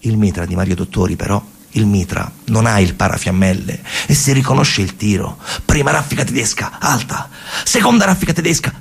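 A male actor tells a story as a theatre monologue in a central Italian, Lazio accent. His voice is rather low, with a heavy gravelly creak and a husky grain. He speaks colloquially and emphatically, circling back on phrases for dramatic effect.

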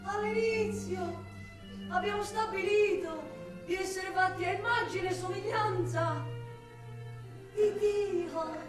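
An actress playing an unsettling, darkly ironic man with murderous thoughts, in a volatile theatrical radio monologue. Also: very high voice. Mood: fervent, ironic. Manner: volatile, swinging from murmurs to shouts, drawn-out words. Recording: very echoey, distant, music behind.

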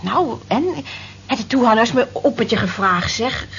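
A woman's voice: on the high side, normal speed, natural voice. An actress playing an evasive, lovestruck young woman who gives guarded short replies in a radio drama. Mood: quietly defiant. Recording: dull radio recording, faint noise.

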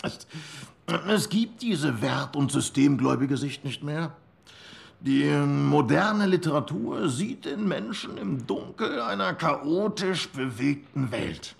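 An actor playing an earnest intellectual, lecturing in a measured, pedantic manner in a radio play. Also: male voice, a little high, animated, gravelly, exaggerated voice.

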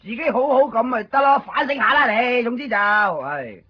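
A man's voice: very high voice, lively intonation, moderate pace, slightly rough, exaggerated voice. A man with a Hong Kong Cantonese accent playing a nervous, apologetic, evasive friend in a radio drama. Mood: chiding. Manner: hesitant, stammering, pleading. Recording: dull, lo-fi 1990s radio recording with music.

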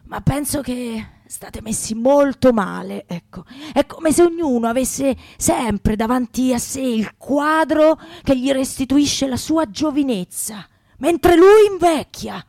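A woman's voice: high-pitched, lively intonation, slow, gravelly, darkish tone, dry recording, clean studio sound, heavily acted. A woman playing a world-weary, grudging damned aesthete, hesitant and blunt, in a radio comedy sketch.

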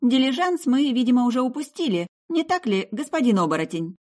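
A woman voicing an odd, chatty young sorceress in an audiobook, breezy and quick to take offence. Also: on the high side, lively intonation, voice pushed up. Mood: brisk, bossy. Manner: breezy, indignant, talkative.

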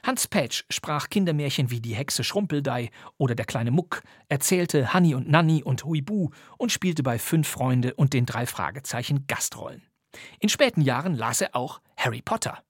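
A man presenting a scripted introduction as a podcast host, in a husky voice. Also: medium pitch, lively intonation, normal speed, dry recording, studio quality.

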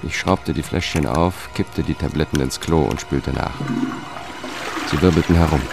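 A very low, weary male voice tells an investigator's story in a radio drama. He is measured and melancholic, holding back emotion, with clear music behind him. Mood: melancholic, reflective. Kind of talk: radio drama narration and dialogue.

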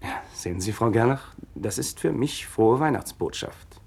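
An actor playing a learned religion teacher in a radio play, explaining things patiently in a measured, didactic manner. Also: male voice, medium pitch, lively intonation, vocal fry, darkish tone, slightly rough. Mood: earnest, solemn.

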